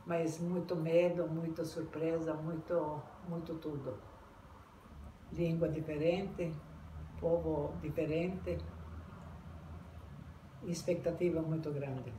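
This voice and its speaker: An older woman being interviewed, recounting her memories in a halting way with many restarts and repeated words. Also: low-pitched, fairly even intonation, warm tone. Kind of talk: oral history recollection. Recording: echoey room, mic far off, noisy.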